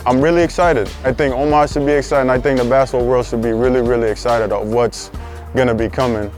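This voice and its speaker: Earnest young man, middle pitch. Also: fairly even intonation, fast talker, darkish tone, soft background music. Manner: earnest, repeats words for emphasis. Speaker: basketball program founder. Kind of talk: documentary interview.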